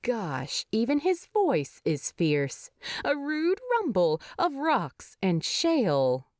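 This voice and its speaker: A woman reading scripted story narration in an expressive storytelling style. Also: a little high, slow pace, no room sound, studio quality. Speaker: fan fiction narrator.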